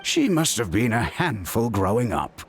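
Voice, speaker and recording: A man's voice: on the low side, normal speed, soft background music. An actor playing a caring, middle-aged father and healer in a video game, chiding and chatting in an exasperated way. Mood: fretful, chatty. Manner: animated, chiding, conversational.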